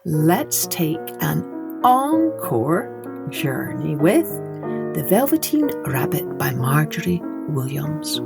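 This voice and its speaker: A woman reading poems and stories aloud for children in an unhurried, dramatic storytelling style, with phrases that trail softly away. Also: sits low, very animated, gravelly, loud music behind the voice. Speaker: children's storytelling podcast host and reader.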